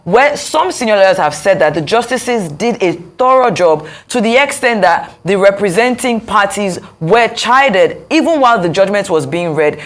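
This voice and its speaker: Mid-pitched woman moderating a panel. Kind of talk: broadcast political discussion.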